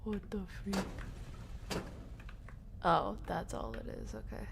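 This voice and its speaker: Female game streamer, slow-paced and reactive, casual commentary over clearly audible game noise. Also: medium pitch, steady tone. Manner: reactive, talking to herself and to viewers. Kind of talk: casual live gameplay commentary.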